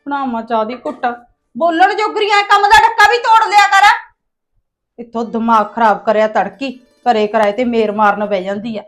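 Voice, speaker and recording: A woman's voice: very high-pitched, big pitch swings, a little fast, fairly bright tone, faint music behind, heavily acted. A woman playing an overworked village woman who mutters complaints to herself in an amateur comedy skit. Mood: scolding. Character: grumbling village woman, overworked and sharp-tongued.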